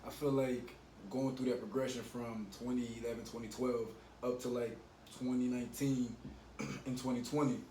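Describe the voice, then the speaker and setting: Casual podcast guest, man in his twenties, reflective and open, mid pitch, echoey room with faint noise. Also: flat delivery, moderate pace, dark tone. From Miami, United States. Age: 20s. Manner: earnest, reflective, opens up at length. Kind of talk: casual chat. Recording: echoey room, mic at a distance, faint hum.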